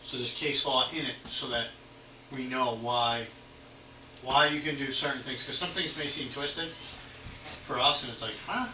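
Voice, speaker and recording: A man's voice: medium pitch, normal speed, long pauses. A man working as a police officer, casually explaining the law in an easygoing, conciliatory way and often repeating his points. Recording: noisy, echoey audio, distant mic.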